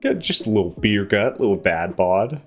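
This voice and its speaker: A man's voice: on the low side, animated, moderate pace. A man doing casual let's play commentary, reading visual novel text aloud and breaking off for joking asides. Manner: joking, self-interrupting asides. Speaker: gaming video host. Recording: background music behind the voice. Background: game music.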